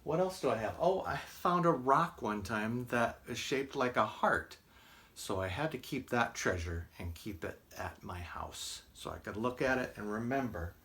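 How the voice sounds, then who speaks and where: A man with an American accent reading aloud at a children's storytime, expressive and deliberate, with casual asides in simple words. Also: mid-range voice, husky. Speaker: library storytime reader.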